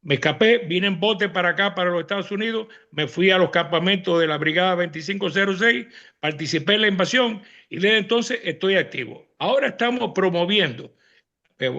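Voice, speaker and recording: A man in his eighties with a Cuban accent speaks as a veteran panelist. His voice is high, bright and full of gravel, and he halts and repeats himself with emphasis. The sound is slightly dull and lo-fi. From Cuba. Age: 80s. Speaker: veteran exile activist, panel guest. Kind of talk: speech recounting personal and political history.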